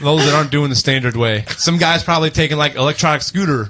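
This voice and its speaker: A man's voice: a little high, quick pace, pushed and bright. A man hosting a podcast, chatting casually and sharing figures with his friends in an easygoing conversational style. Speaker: podcast host. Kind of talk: casual podcast chat, reading out statistics.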